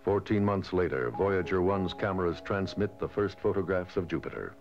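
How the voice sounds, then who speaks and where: A man reading scripted documentary narration in a measured, formal way, on a dated soundtrack. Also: deep voice, animated, a little mellow. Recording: dull, dated lo-fi film soundtrack. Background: music.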